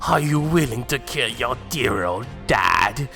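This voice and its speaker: A man's voice: mid-range voice, animated, a little slow, gravelly, bright, forceful tone, soft background music, full character voice. A man playing a sadistic alien villain in an anime fan drama, taunting and theatrical with menace. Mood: shaken, threatening. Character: sadistic alien villain with vengeful grudge, possessing a father's body. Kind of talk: anime fan-fiction voice acting.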